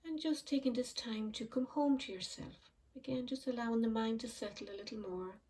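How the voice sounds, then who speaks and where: Soft-spoken woman guiding a meditation. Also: a little high, far from the mic. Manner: slow, soft and soothing, with frequent pauses. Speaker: meditation teacher. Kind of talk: guided mindfulness meditation.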